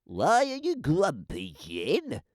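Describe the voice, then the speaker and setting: A man's voice: a little high, huge pitch swings, takes it slowly, no room sound, studio quality, pitched above the performer's own voice, full character voice. A man voicing a chirpy, inquisitive animal friend in a storybook, with a lilting, questioning delivery.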